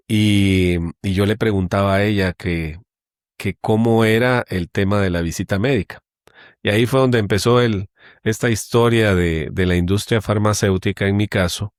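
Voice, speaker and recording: A middle-aged man speaks in a fairly low voice with a dry, close sound. He talks in a measured way and pauses often. His tone is that of a guest in a conversational interview. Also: dry recording. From Guatemala. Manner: measured, with many hesitations. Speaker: guest, company general manager.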